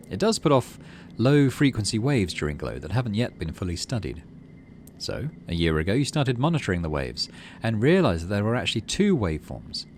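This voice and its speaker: Lively man narrating a game playthrough. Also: fairly low pitch, slight background noise. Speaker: gaming video host. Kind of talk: game playthrough commentary and reading story text aloud.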